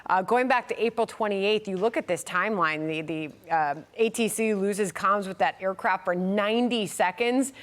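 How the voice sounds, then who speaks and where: A woman's voice: medium pitch, normal speed, hardly any pauses. A woman hosts a live news interview. Her voice sits in a middle register, and she talks conversationally as an anchor does, moving between reporting and questions.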